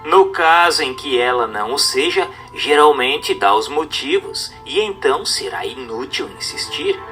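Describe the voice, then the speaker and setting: A man with a Brazilian accent reads a religious text aloud as a narrator, in a formal, measured, didactic manner over music. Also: mid-range voice, very animated, bright, forceful tone. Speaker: narrator reading a book aloud. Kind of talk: scripted audiobook-style narration of a religious text.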